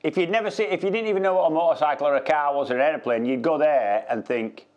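A middle-aged man interviewing a friend in a home kitchen, friendly and quick with short agreeing interjections. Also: a little high, darkish tone, muffled recording. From northern England. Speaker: interviewer, former racer. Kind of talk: casual chat.

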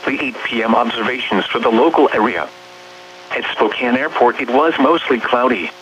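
A man's voice: mid-range voice, a crowd in the background. Muffled synthetic male weather radio announcer. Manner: synthetic, measured, impersonal reading. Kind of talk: automated weather bulletin readout. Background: radio hum and noise.

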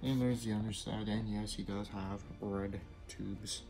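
A man's voice: lowish voice, steady tone, unhurried. A young man casually showing off a toy he built, giving step-by-step instructions with small asides and self-corrections. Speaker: toy builder reviewing his creation. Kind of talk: casual hands-on toy review narration. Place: basement room indoors. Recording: music playing in the background.

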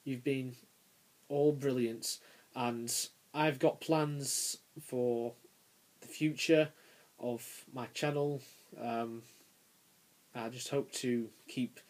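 A young man around nineteen giving a casual, unscripted review as a video creator, halting and pausing mid-phrase. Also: mid-range voice, a little mellow, poor audio. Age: young adult, about 19. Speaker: YouTube toy reviewer. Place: small bedroom, indoors. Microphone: handheld camera's built-in mic.

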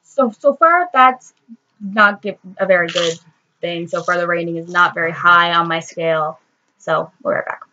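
A woman's voice: lowish voice, moderate pace, sharp tone, highs cut off, slight background noise. A teenage girl casually reviewing a toy in her bedroom, explaining each step in a chatty way, recorded on a webcam microphone. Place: bedroom, indoors, seated on the floor. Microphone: built-in webcam mic.

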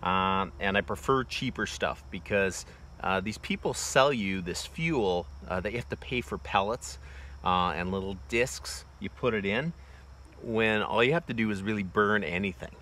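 A man in his forties talks casually through a hands-on demonstration, with a lowish but bright, forward voice. He meanders with fillers and restarts. He's recorded outdoors on a close phone camera, with faint crickets and insects humming behind him. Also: moderate pace, a little hiss or hum. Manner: plainspoken, loose, many fillers. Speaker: homesteading vlogger. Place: outdoors in a wooded bee yard. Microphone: phone camera held close.

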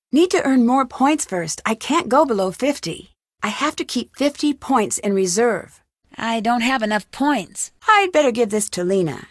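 A woman's voice: fairly high pitch, dry recording, studio quality. An actress playing a curious, upbeat young woman detective in an adventure game, thinking aloud in short scripted remarks.

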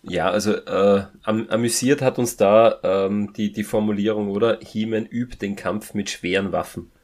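A man co-hosting a casual podcast review, often halting and repeating words as he searches for phrasing. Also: fairly low pitch, steady tone, darkish tone.

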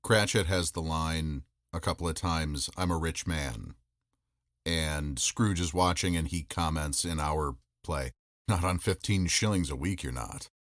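A man speaking as a podcast guest, reflecting in a measured, thoughtful way with pauses mid-sentence. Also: very deep voice, fairly bright tone, no echo, studio quality. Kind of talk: reflective interview talk.

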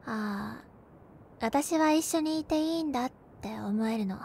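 A young woman playing a gentle, cheerful girlfriend who comforts her overworked boyfriend in an intimate audio roleplay, with a soft, playful manner. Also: a little high, measured pace, gravelly, slight background noise. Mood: reassuring, tender. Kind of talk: Japanese binaural situation-voice roleplay, spoken to the listener.

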